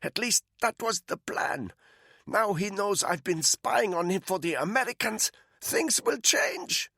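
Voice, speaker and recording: A man voicing a captive woman spy who croaks and gasps for air as she is strangled. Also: mid-range voice, very animated, unhurried, fairly bright tone, no room sound, clean studio sound, pitched above the performer's own voice, heavily acted. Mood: strained, fearful. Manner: croaking, strained pleading. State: choking, gasping.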